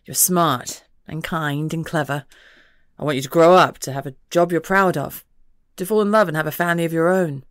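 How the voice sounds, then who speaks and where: A woman's voice: low voice, moderate pace, no room sound, clean studio sound, natural voice. A woman voicing a loving father who speaks firmly and earnestly to his daughter. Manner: firm, earnest. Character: firm, loving father, steady and calm.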